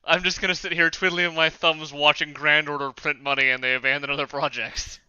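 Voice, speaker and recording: A man's voice: on the high side, flat delivery, fairly quick, bright, forceful tone, smooth, muffled recording. A man chatting casually on a podcast, giving wry, opinionated fan takes. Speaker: podcast panelist.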